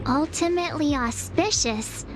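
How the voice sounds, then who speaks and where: An actress playing a perky, open-hearted teenage girl adventurer in a video game, talking in a casual, expressive, girlish way. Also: high voice, measured pace, music in the background. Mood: upbeat, hopeful. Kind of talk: video game character voice acting.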